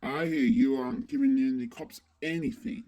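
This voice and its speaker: A woman playing a stern, determined masked vigilante in a superhero audio drama, with a low, husky voice and terse, serious manner. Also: animated, normal speed, mellow, exaggerated voice.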